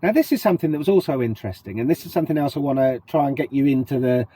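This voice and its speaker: A middle-aged man with a British accent talks to camera, sniffly from a cold. His husky, mellow voice swings widely up and down as he throws rhetorical questions at the viewer. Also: medium pitch, expressive, rapid-fire. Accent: British English. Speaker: vlogger. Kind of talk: casual to-camera chat.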